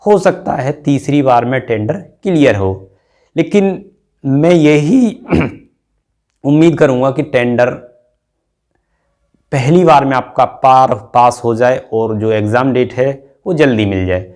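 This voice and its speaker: A man's voice: mid-range voice, slow, darkish tone. A man giving an informal, repetitive step-by-step explanation as a teacher to students, often adding a short check for understanding. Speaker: exam-prep teacher and channel host. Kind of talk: informal explainer addressed to exam candidates.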